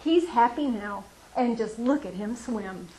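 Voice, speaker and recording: Steady sensible father bird, as a woman reads him, slow and lively in pitch. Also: background noise. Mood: content. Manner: storybook read-aloud, steady. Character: calm practical father bird.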